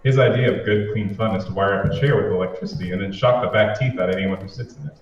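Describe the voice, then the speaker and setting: A man's voice: lowish voice, quick pace, lightly acted. A man playing a wisecracking, reluctant boyfriend in an old-time radio drama, sardonic and grumbling. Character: wisecracking, reluctant boyfriend griping about his boss's parties. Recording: muffled, very echoey, distant, faint noise.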